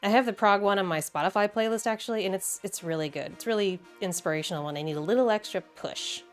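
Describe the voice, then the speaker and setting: A woman's voice: medium pitch, fast talker, faint music behind. A woman hosting a video essay in a casual, conversational and enthusiastic way, with wry asides.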